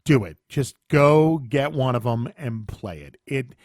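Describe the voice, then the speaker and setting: A man's voice: medium pitch, lively intonation, a little slow, darkish tone, no echo, studio quality. A man co-hosting a podcast in casual, informal and appreciative talk. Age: adult past college and military years. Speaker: podcast co-host. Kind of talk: casual chat and show sign-off.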